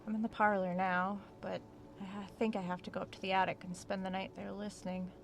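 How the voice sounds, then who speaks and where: Woman acting an uneasy low-voiced ghost-hunting writer. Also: level tone, brisk, slight background noise, lightly acted. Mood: uneasy, reflective. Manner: hesitant, thinking aloud in journal entries. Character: uneasy woman writer investigating a haunted house. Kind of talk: horror audio drama acting, diary narration.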